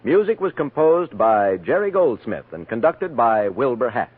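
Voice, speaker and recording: A man's voice: mid-range voice, sing-song, moderate pace, slightly rough, dry recording. A man with an American accent reading scripted credits and promos as a 1950s network radio announcer. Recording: tinny 1950s radio broadcast, music behind.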